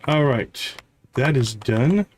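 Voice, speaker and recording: A man's voice: medium pitch, expressive, takes it slowly, darkish tone, a little hiss or hum. A man hosting a game livestream, thinking aloud in casual, chatty commentary as he plays. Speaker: livestream host playing a video game. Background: game music.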